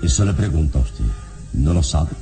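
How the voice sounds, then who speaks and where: Heroic investigator, very low voice, deliberate, grave, unshakeable, animated intonation, vintage radio serial. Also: male voice, faint music behind. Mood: suspicious. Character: solemn, unshakeable male hero investigating murders. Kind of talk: old radio drama acting.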